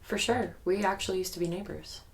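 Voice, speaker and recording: A woman's voice: mid-range voice, natural voice. An actress playing a guarded young woman in an audio drama, recounting a haunted childhood memory in a hesitant, reflective, documentary-interview way. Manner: hesitant, reflective storytelling with pauses.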